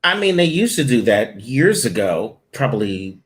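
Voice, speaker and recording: A man's voice: medium pitch, sing-song, normal speed. A man, a voiceover audition director, answering interview questions in a conversational way with frequent ums and restarts.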